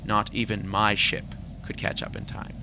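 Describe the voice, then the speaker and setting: An actor playing a principled, troubled space fleet admiral in a science fiction audio drama, earnest and stern with some weariness. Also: male voice, lowish voice, completely flat delivery, moderate pace, background noise, natural voice. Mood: anxious, insistent.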